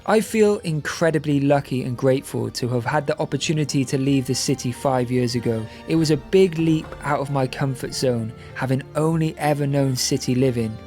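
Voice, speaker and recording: An earnest, upbeat man shares his homestead life in a heartfelt vlog. His voice sits in the middle range. Soft music plays faintly behind him outdoors in the garden. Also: mid-range voice, moderate pace, few pauses. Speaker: homesteading vlogger. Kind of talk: reflective vlog narration and casual chat.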